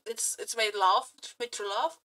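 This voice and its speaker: A woman streamer chatting casually to viewers in a gushing, flirty fangirl manner, halting and repeating her words as she goes. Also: fairly high pitch, pushed and bright. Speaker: aspiring VTuber and streamer. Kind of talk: casual reaction chat to viewers.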